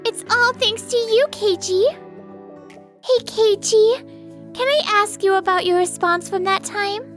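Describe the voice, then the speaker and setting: A woman's voice: extremely high pitch, slow pace, a bit bright, soft background music, heavily acted. A woman voicing a polite, sweet young lady in an anime-style manga dub. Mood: sweet, affectionate.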